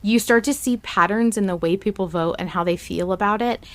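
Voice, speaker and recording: A woman's voice: mid-range voice, fairly quick. A woman hosting a podcast, chatting casually and emphatically, with the odd swear word. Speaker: podcast host.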